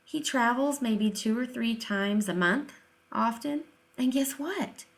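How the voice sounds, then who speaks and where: A woman's voice: a little high, slow pace. A woman giving informal opinion commentary in an emphatic, sarcastic way, often posing rhetorical questions. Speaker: video commentator, deaf-education advocate.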